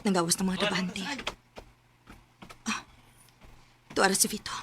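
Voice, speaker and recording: A woman's voice: mid-range voice, little pitch movement, measured pace, background noise, close to an everyday voice. An actress playing an emotional, wronged young working mother with a Cebuano accent in a sentimental radio drama. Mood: suspicious, furious.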